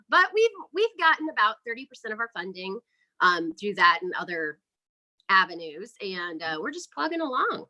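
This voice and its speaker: A woman's voice: mid-range voice, big pitch swings, moderate pace, bright, forceful tone, poor audio. A woman speaking casually and chattily as an interview guest, explaining her work as an independent filmmaker.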